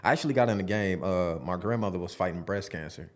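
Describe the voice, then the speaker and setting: Guest, man of forty, very deep voice, candid storyteller, halting delivery. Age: 40s. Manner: open, storytelling, halting restarts. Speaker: podcast guest. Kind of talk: casual studio interview. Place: podcast studio.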